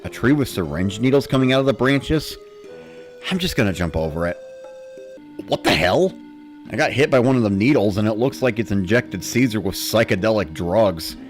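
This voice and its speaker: A man reading a first-person story as a dramatic narrator, in the style of a gamer recounting play. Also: lowish voice.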